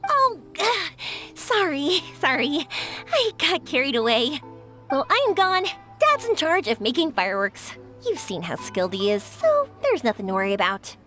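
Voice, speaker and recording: Cheerful young woman, high lively voice, bubbly anime game heroine, eager chatter. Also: unhurried, soft background music, exaggerated voice. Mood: sheepish. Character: cheerful, excitable young woman fireworks maker. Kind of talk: anime-style video game voice acting.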